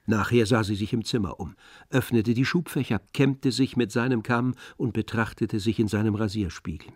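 A man playing the composed, observant narrator of a literary radio drama, with measured delivery. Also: on the low side, no echo, studio quality, close to an everyday voice.

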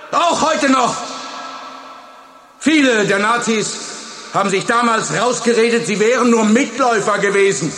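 Stern, impassioned teacher, high-pitched voice. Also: male voice, pushed and bright, heavily acted. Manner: emphatic, deliberate oratory. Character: stern, impassioned male high school teacher addressing pupils. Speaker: actor. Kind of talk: German radio play acting. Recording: echoey hall with long reverb.

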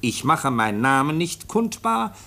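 A man playing a police official in a radio play, matter-of-fact and explanatory, with a husky voice. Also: on the high side, very animated, bright, forceful tone, heavily acted. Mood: deliberate reading. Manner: matter-of-fact, explanatory, slow and deliberate when reading aloud. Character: brisk male police official reporting on a case.

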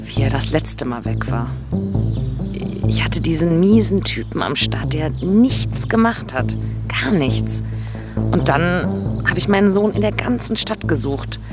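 A woman's voice: lowish voice, lively intonation, a little slow, music in the background. An actress playing a defensive, embittered working-class mother of three in a naturalistic radio drama, talking bluntly and colloquially. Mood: weary recounting.

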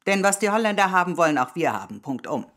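Haughty, swooping electress. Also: female voice, lowish voice, sing-song, fairly bright tone. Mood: covetous, demanding. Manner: grand, deliberate, archaic royal "we". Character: haughty, covetous electress, grand and demanding noblewoman. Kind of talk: radio drama acting.

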